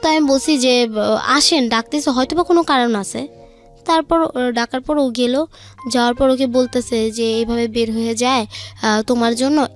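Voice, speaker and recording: Young female guest, high voice, Bangladeshi accent, informal personal storytelling over faint music. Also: normal speed. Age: young adult. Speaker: guest telling her life story.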